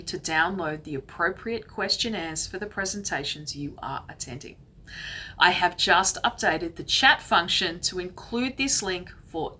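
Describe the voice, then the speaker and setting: A woman's voice: on the low side, sharp tone, slightly rough. A woman hosting an online professional training day, reading formal housekeeping announcements from a script. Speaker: event host.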